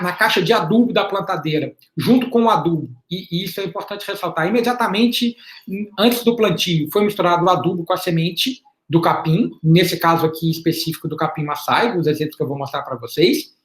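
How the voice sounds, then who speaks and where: A man giving a slide presentation as an invited panelist in an online talk. He speaks in a halting, explanatory way, repeats words and often checks in with a questioning "right?". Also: high voice. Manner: explanatory, measured. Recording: roomy echo on an online call.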